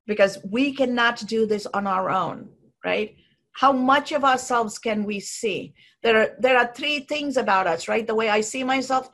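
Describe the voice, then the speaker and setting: A woman with a Sri Lankan accent, speaking as a podcast host. Her voice is a little high and has a gravelly edge. She explains things patiently and keeps asking the listener "right?". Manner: explanatory, frequently adds "right?". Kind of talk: conversational podcast discussion.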